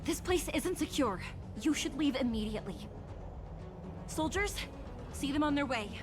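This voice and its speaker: Stern, highish, lilting judge. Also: female voice, animated, normal speed, over music. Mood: commanding. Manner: commanding, formal. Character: stern, imperious female judge.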